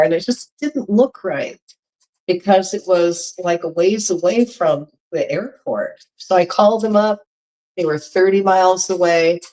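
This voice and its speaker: A woman's voice: fairly low pitch, poor audio. A woman recovering from a cold, her voice a little congested, chatting casually as she tells a travel story. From Hawaii. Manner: chatty, animated storytelling with frequent laughs. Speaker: vlogger.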